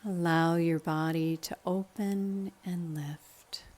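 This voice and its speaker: A woman with a slightly low voice guides a meditation at a very slow pace. Her delivery is calm and measured, giving gentle step-by-step instruction.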